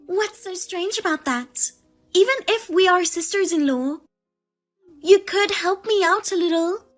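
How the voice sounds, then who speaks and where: A woman voicing a demanding, scornful sister-in-law who accuses and mocks loudly. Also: very high voice, a little slow, faint music behind, voice pushed up. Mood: indignant. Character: shrill, demanding female sister-in-law, a mother.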